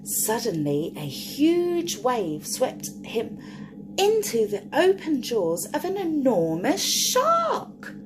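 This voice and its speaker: Lady reading kids a picture book, super lively up and down voice, slow, noisy background. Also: high voice. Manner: addresses young listeners, draws out key words. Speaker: children's storyteller. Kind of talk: expressive picture-book read-aloud for children.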